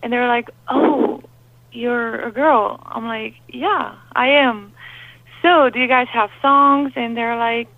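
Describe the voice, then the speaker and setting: A young woman with a Romanian accent, a lead singer telling her story in a phone interview, candid and animated with lots of fillers. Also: fairly high pitch, takes it slowly, no room sound. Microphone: telephone. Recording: tinny phone line, dry.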